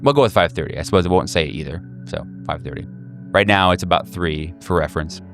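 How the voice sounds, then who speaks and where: Low voiced guy game mastering a horror rpg, kinda halting, making it up as he goes, faint music behind. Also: gravelly. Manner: halting, searching for words. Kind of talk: improvised narration of a tabletop horror game.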